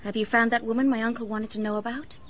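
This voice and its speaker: A woman's voice: a little high, steady tone, quick pace, a bit bright, slight background noise, close to an everyday voice. An actress playing a self-assured, rich young American woman in a radio drama, direct and confident, with an American accent. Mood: frank, curious.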